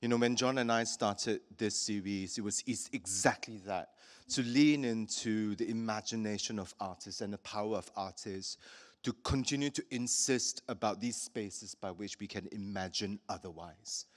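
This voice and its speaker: A man from Singapore hosting a public talk with an artist, speaking thoughtfully with many pauses and fillers. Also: fairly low pitch. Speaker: host.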